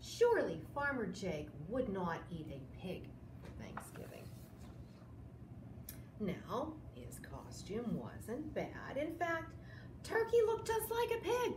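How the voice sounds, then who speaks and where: Storytime reader, woman, sixties: high voice, dramatic drawn-out phrasing, noisy room recorded from a few metres. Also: huge pitch swings, darkish tone. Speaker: library storytime host. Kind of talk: children's picture-book read-aloud. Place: small indoor room, seated. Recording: roomy echo, clear background noise.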